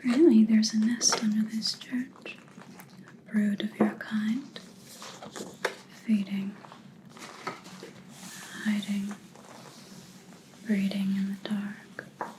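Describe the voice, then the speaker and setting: A woman's voice: medium pitch, level tone, noisy background. A woman playing a grim, vengeful vampire hunter in an ASMR roleplay, whispering breathily and menacingly close to the microphone. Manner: slow, deliberate, menacing.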